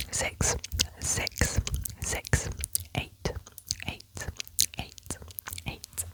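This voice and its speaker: A woman reads a fact book aloud in a close, breathy ASMR whisper. She lingers on repeated words and slips in chatty, mildly sarcastic comments. A stuffy, sniffly nose from a cold or hay fever comes through now and then. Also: low-quality recording. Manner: soft whisper, repeats words slowly for trigger effect; chatty asides, lightly sarcastic. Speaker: ASMR creator.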